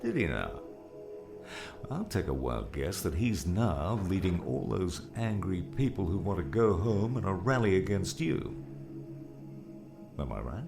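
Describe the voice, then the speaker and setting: A man voicing a very deep, gravelly old mentor. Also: very animated, unhurried, over music, exaggerated voice. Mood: teasing. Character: dead old mentor, gruff, wry, fatherly man.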